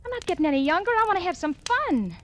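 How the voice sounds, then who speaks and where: An American actress playing a bored, bitter, seductive housewife in a 1940s radio thriller, with a sardonic, brazen delivery. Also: female voice, very high voice, big pitch swings, very fast, slight background noise, heavily acted. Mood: bitter, fed up. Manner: sardonic, brazen, world-weary. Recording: old radio broadcast with loud music.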